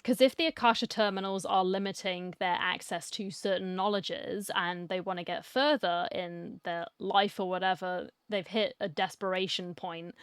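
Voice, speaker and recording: A woman's voice: fairly low pitch, clean tone, no room sound. A woman giving casual, musing reaction commentary as she plays a video game into a close microphone. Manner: musing, chatty. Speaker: gaming streamer.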